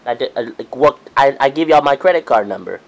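A young man speaking casually as a customer on a prank phone call, halting and repeating himself. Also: medium pitch, lively intonation, gravelly. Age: young adult. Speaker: prank caller, video host. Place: small room at home.

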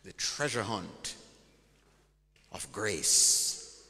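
A man's voice: fairly low pitch, lively intonation, extremely slow, sharp tone. A man preaching a sermon in a church, emphatic and call-and-response, drawing out his key words. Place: large church hall. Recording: long church hall reverb.

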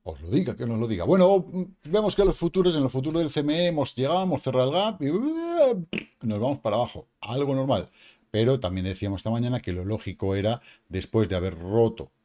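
A man's voice: medium pitch, very animated, fast, warm tone. A man in his fifties with a Peninsular Spanish accent, hosting a casual crypto explainer from a small room, chatty and emphatic with tag questions and mild swearing. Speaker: crypto channel host.

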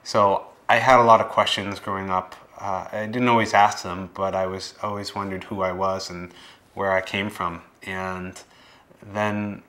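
Male, late 20s, American. Low, flat delivery, unpolished and plain-spoken, first-person documentary testimony. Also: a little slow. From Boston area, United States. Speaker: documentary subject telling his life story. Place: living room, indoors.

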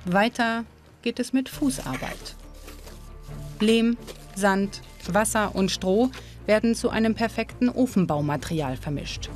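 A woman narrating a documentary in a calm, measured, informative way. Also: mid-range voice. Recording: voice-over with music behind. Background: music.

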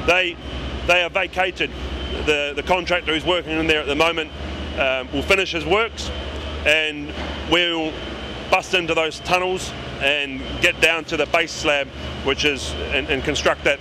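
A middle-aged British man giving an informal update as a construction project manager, explaining haltingly and repeating words. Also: on the high side. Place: large underground station construction site. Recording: clear machinery and site noise behind.

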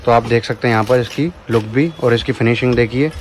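A man's voice: mid-range voice, quick pace, a little hiss or hum. A man filming a casual vlog in a crowded shop, chatting with the seller and repeating his answers to the viewers. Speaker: vlogger. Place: crowded cooker shop, indoors.

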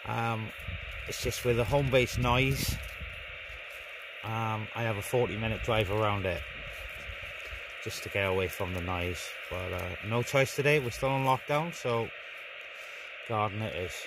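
A man's voice: fairly low pitch, a little slow, pauses often. A man giving casual, hands-on vlog commentary outdoors in a garden, speaking informally and hesitantly as he busies himself with gear. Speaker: amateur CB radio hobbyist filming a vlog. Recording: noisy outdoor phone-camera recording.

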